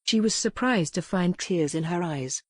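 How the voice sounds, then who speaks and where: Female narrator for a historical romance audiobook, slightly low register, scripted storytelling, intimate dry sound. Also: animated, normal speed, darkish tone, dry recording.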